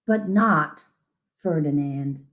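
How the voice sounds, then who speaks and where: A woman reading a picture book aloud to children in an expressive, gentle, deliberate storytelling manner, with asides about the pictures. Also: deep voice. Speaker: children's story-time reader.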